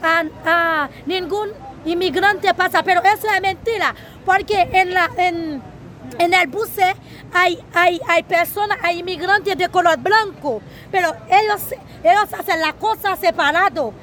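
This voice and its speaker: Young woman, halting, high voice. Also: a bit bright, slight background noise. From Haiti. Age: young adult. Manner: halting, searching for words, pleading questions. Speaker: migrant giving testimony. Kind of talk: recorded personal testimony. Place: outdoors in a town near a border.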